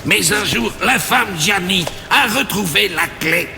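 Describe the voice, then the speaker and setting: An actor playing a grave monk in a video game cutscene, speaking in a solemn storyteller's manner. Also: male voice, high voice, animated, measured pace, creaky, full character voice. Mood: solemn, foreboding. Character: grave male monk, solemn guardian of an ancient treasure. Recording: long hall reverb, music underneath. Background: music.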